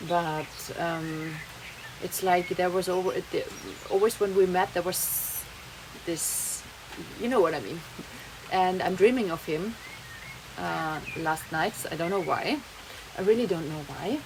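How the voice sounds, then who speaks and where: Brief: young German-accented woman, lower voice, rambling casual vlog chat, rain noise behind. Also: a little slow. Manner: rambling, chatty, laughs often, slips German words in. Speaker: vlogger. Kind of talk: casual monologue to camera. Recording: noisy background, rain heard.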